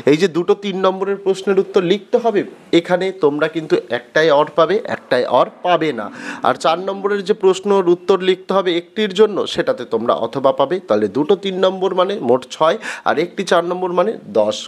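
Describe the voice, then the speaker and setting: Fast-talking male exam-prep teacher. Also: a little high, darkish tone. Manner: repeats points, asks and answers his own questions. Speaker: teacher and channel host. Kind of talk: explaining an exam pattern to students.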